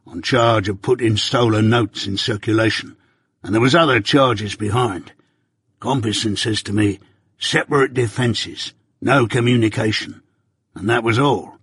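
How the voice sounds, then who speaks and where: A man voicing a rough older male ex-convict recounting his past in rustic dialect, confiding and plain. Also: mid-range voice, fairly bright tone, dry recording, clean studio sound.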